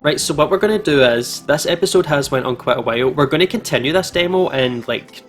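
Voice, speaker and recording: A man's voice: fairly high pitch, expressive, fast, a bit bright. A man hosting a casual let's play, commenting in a chatty, informal way. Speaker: gaming video host. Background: game music.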